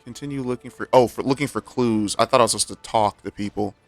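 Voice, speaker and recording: A man's voice: medium pitch, fast talker, faint music behind. A man doing casual, reactive gameplay commentary as a streamer, joking and slangy. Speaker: game streamer.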